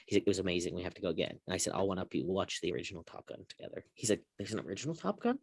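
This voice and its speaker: A man with a lowish voice talks quickly in casual sports-show banter. He tells stories with a dry, snarky edge, and the sound is dry with little room in it. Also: sing-song, creaky, husky, no echo.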